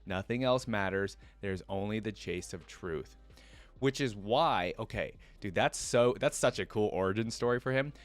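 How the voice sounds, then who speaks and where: A man's voice: on the low side, moderate pace. A man hosting a reaction video, reading lore aloud and adding informal, casual fan commentary.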